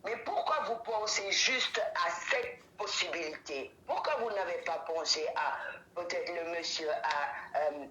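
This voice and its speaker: A woman from Italy calling into a livestream, talking in a halting, rambling and complimentary way. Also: fairly low pitch, bright, forceful tone, reverberant, lo-fi sound. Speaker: caller. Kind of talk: phone-in chat.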